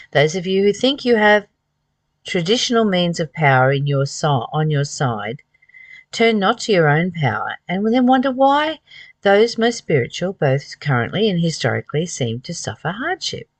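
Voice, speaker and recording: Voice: mid-range voice, no room sound. A host reading aloud from a spiritual book and commenting on it, earnest and emphatic, with repeated phrases and rhetorical questions.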